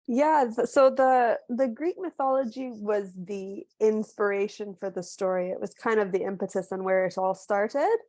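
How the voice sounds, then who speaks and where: A woman from Barbados, an author, talking through a video call. She tells her story thoughtfully with small restarts and sounds a little hoarse from a cold. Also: mid-range voice, moderate pace, few pauses, warm tone, no echo. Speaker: author guest. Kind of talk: casual book club video call conversation.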